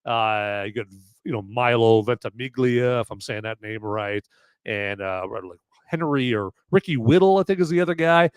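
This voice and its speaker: A man hosting a live movie review podcast, with a loud voice that carries, who rambles casually with lots of fillers and restarts. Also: mid-range voice, moderate pace, smooth, no room sound.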